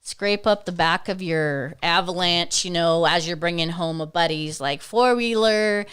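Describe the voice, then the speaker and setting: A woman's voice: lowish voice, a little slow, fairly bright tone, clean tone. A woman in her thirties, a podcast guest, joking and teasing her husband in casual chat on a studio mic. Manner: playful, teasing. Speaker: guest, host's wife. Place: small brick-walled studio.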